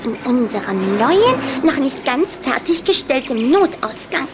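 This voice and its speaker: Young vampire girl for an audio play: high, chirpy, self-assured, insistent, noisy track. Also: sing-song, a little slow. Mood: eager, persuasive. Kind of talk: German children's radio drama acting.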